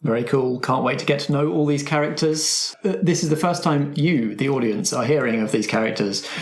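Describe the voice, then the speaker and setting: Male game master and show host, mid-pitched with a touch of huskiness, presenting to an audience. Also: fairly quick, breathy edge. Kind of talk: scripted programme introduction and game hosting.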